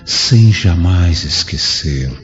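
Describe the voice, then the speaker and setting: A man playing a serene spirit in a radio drama, counselling solemnly and with measured delivery in a Brazilian accent. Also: low voice, expressive, exaggerated voice. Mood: solemn, tender. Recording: dull lo-fi audio, music behind.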